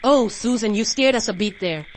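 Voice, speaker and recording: A woman playing a gentle, protective mother in an audio drama. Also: a little high, normal speed, a little hiss or hum, natural voice. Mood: reassuring. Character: gentle, protective Southern mother, quietly uneasy.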